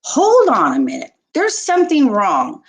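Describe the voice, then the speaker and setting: Female teacher-type storyteller, unhurried, expressive, widely varied pitch, for rhyming picture books. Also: creaky, breathy edge. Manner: expressive, deliberate storytelling with short asides to listeners. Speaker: teacher reading aloud to children. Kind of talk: children's picture book read-aloud in rhyme.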